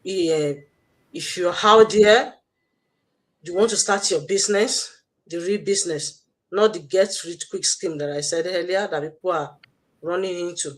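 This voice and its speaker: A woman's voice: fairly low pitch, measured pace, low-quality recording. A woman with a Nigerian accent hosting a motivational livestream, exhorting listeners and repeating her phrases. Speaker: host, online business mentor.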